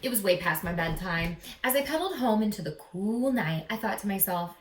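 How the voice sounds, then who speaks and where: A woman reading a picture book aloud to young listeners in an expressive, teacherly way, with dramatic pauses. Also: medium pitch. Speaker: read-aloud storyteller. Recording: echoey room, slightly distant mic.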